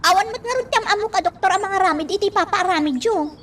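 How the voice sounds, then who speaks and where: Very high-pitched worried daughter. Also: female voice, fast, fairly bright tone. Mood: anxious, reproachful. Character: worried young daughter cautioning her mother. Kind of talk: Ilocano radio drama acting. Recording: distant mic, faint background noise.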